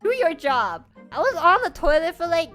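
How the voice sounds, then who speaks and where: A young woman from Canada whose first language is Chinese, telling a crude childhood story in an animated, laughing way as a streamer. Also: very high voice, normal speed, faint music behind. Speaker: virtual streamer. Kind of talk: casual storytelling chat.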